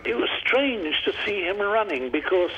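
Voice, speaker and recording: A man's voice: sits high, very animated, moderate pace. An older man recalling past experiences in a spoken reminiscence. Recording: dull, noisy archive interview recording.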